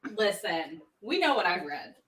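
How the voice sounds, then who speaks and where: A woman's voice: fairly low pitch, sing-song, normal speed, fairly bright tone. Wry woman, roomy and distant. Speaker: guest book YouTuber. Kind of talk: casual chat. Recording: roomy, distant mic with background noise.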